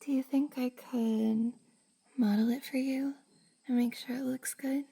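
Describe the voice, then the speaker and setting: A woman playing a shy, flustered young cosplayer in an intimate roleplay ASMR drama, hesitant and breathy, often sighing and dropping to a whisper. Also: sits high, fairly even intonation, measured pace, mellow, heavily acted. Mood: affectionate, playful.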